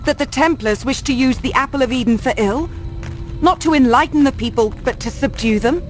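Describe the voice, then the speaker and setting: Woman acting a high-voiced, defiant young Templar woman. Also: fairly quick, close to an everyday voice, poor audio. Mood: defiant, questioning. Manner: challenging, indignant. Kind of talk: video game cutscene acting. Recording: music under the voice.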